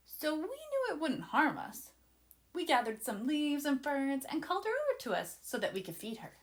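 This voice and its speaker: A woman voicing an excited, adventurous young boy who eagerly and dramatically narrates a children's storybook to his listeners. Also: high-pitched, pitched above the performer's own voice. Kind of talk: children's storybook read-aloud.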